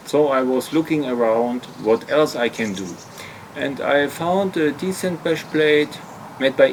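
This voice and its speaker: A man's voice: medium pitch, slight background noise, poor audio. A man narrating an explanatory hobby vlog in hesitant, slightly awkward non-native English phrasing. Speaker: video host, hobby motorcycle customizer.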